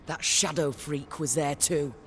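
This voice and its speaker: Hard-living bounty hunter, noir game. Terse, blunt delivery, moderately high male register. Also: steady tone, unhurried, fairly bright tone, roomy sound. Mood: matter-of-fact. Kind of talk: video game voice acting, noir.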